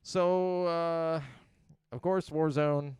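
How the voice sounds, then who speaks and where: A man's voice: a little high, fairly even intonation, extremely slow, darkish tone, smooth, no echo, studio quality. A man hosting a casual podcast, reading game release news in a loose, profane, joking way and stumbling over names. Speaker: podcast host.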